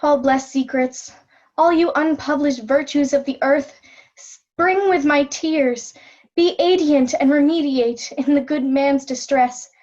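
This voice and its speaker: Lady doing a shakespeare queen, sad and devoted, high voice, takes her time, earnest stage reading. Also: slow pace, darkish tone, highs cut off. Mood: pleading. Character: grieving, devoted young queen Cordelia seeking her mad father. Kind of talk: amateur Shakespeare reader's theatre.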